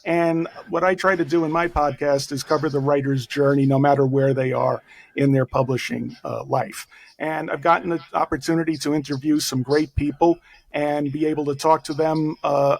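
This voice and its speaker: A man with a Bronx accent, talking as an author guest in a casual interview, self-deprecating and brisk, going on at length. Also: a little high, low-quality recording.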